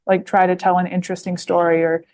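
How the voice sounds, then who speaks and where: Woman in her 20s on a podcast, kinda low voice, says like and um constantly. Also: level tone, fast, a little mellow. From United States. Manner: rambling, heavy with fillers and restarts. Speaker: guest author. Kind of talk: podcast interview.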